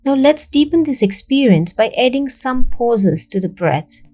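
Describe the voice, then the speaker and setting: Female meditation guide. Calm, soothing narration, slow delivery, warm dark tone, generous pauses. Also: high voice. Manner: calm, soothing, measured instruction with long pauses.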